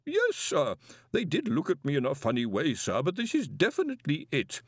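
A man's voice: a little high, wildly swooping pitch, moderate pace, husky, no echo, studio quality, pitched above the performer's own voice, full character voice. A man voicing a nervous, stammering young male wizard in a storybook reading. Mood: pleading. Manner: stammering, pleading.

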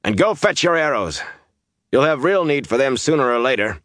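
A man voicing a gruff, exasperated village leader who barks orders in a fantasy audiobook. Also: medium pitch, very animated, moderate pace, a bit bright, no room sound, clean studio sound, voice pushed up. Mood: snapping. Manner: growling, barking orders.

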